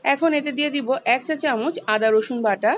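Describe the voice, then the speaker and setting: Calm woman explaining a recipe. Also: high voice, brisk, slight background noise. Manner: calm, instructive, explains each step. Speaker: home cooking channel host. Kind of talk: step-by-step recipe voice-over.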